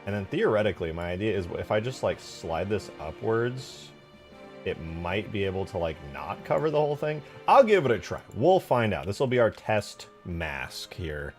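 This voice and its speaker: A man with a low voice talks through his work on a livestream, loose and slangy, with jokey asides and a readiness to get excited. He thinks aloud as he goes, and music plays clearly behind him. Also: moderate pace. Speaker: live streamer and game modder. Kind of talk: casual livestream chat and screen tutorial.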